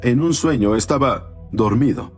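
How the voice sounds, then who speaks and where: A man's voice: mid-range voice, a little mellow, faint music behind. Earnest man from Panama telling his story. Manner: earnest, halting with fillers. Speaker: guest.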